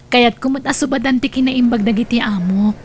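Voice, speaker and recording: A young woman voicing a generous, cheerful niece who speaks warmly. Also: fairly high pitch, measured pace, a little hiss or hum, lightly acted. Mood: grateful. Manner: warm, cheerful, calls out loudly. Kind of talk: audio drama acting.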